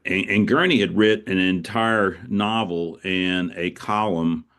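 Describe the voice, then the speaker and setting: Male author guest, low-pitched voice, reflective and anecdotal, with a halting conversational flow. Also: a bit bright. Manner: reflective, anecdotal, often repeats words as he goes. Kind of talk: conversational interview answers.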